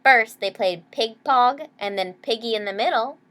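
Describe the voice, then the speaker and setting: A woman reading a children's picture book aloud expressively, pausing to explain words and ask young listeners questions. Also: a little high, a bit bright. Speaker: read-aloud storyteller. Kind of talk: expressive children's story narration.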